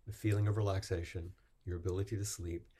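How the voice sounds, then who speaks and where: A man leading a group discussion as its teacher, speaking softly and reflectively at an unhurried pace. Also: low voice, flat delivery, slightly rough. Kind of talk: gentle guided group discussion.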